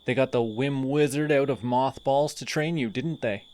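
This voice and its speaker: A man voicing a sardonic male telepathic villain in an audio roleplay, mocking and deliberate. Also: mid-range voice, a little mellow, close to an everyday voice.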